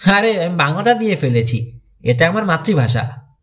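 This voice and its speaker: A self-assured teenage amateur detective played by a man narrating an audiobook, explaining himself calmly. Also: fairly high pitch, normal speed.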